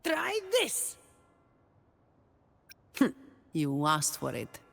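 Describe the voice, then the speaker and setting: Tired but dutiful game guardian girl, dry and confident, slow talker, big reverb on it. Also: sits low, wildly swooping pitch, creaky, a bit bright, soft background music, exaggerated voice. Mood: taunting, fierce. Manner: dry and composed, confident in battle. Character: dutiful, weary, wry young woman guardian with sword and shield. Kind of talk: video game character voice lines.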